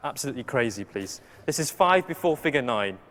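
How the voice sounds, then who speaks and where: A man's voice: medium pitch, expressive, fairly quick, fairly bright tone, in a large hall, a little hiss or hum. A male conductor, a native Cantonese speaker, rehearsing an orchestra, counting and singing rhythms aloud with polite requests. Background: orchestra playing.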